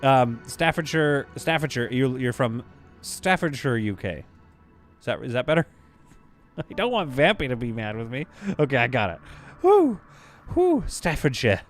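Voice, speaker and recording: A man's voice: medium pitch, faint music behind. A man streaming a game live, chatting animatedly with his viewers and laughing often. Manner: animated, chatty, quick to laugh, reads chat aloud.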